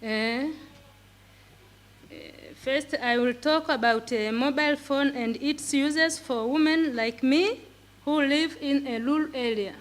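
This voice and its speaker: Female speaker, Tanzanian accent, native Swahili. Slightly high pitch, slow, halting prepared-talk delivery with repeated words. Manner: halting, repeating words as she searches for them. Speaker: pre-primary teacher and conference speaker. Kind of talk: prepared talk in simple English.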